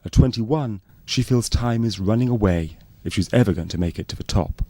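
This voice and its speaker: A man's voice: fairly low pitch, a little fast, warm tone, no echo. A man reading scripted narration for a spoof fly-on-the-wall documentary.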